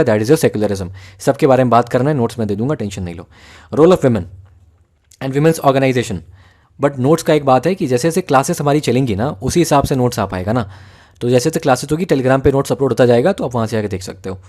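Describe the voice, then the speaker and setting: A man with an Indian accent giving a fast, conversational exam-prep lecture, often checking that students follow. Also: mid-range voice, darkish tone. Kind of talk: lecture mixing Hindi and English.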